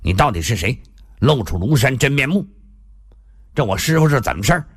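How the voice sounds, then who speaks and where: A male storyteller voices a blunt martial-arts man who is easily bewildered. He questions gruffly in plain folksy words, with a husky tone and lively swings of pitch. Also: brisk. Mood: suspicious, confused.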